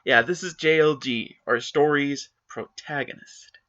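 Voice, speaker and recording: A man's voice: fairly high pitch, measured pace, fairly bright tone, lo-fi sound. A man giving a dramatic reading of online forum comments, with exaggerated, indignant, theatrical emphasis. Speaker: reader of forum posts.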